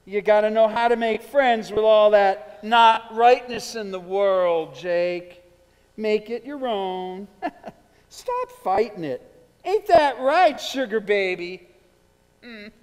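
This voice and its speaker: A man's voice: sits high, slow, darkish tone, clear, resonant tone. A man playing a swaggering, seductive drug dealer in a jazz bar, coaxing and taunting in streetwise slang, in a theatrical staged reading. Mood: taunting, gloating. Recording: echoey theater hall with music.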